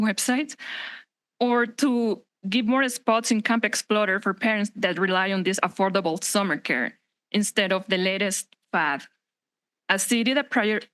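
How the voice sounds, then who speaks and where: A woman's voice: medium pitch, fairly bright tone, rough recording. A woman from Mexico with a Mexican Spanish accent, her first language Spanish, reading a prepared public comment at a council chamber podium microphone, earnest with some slips and restarts.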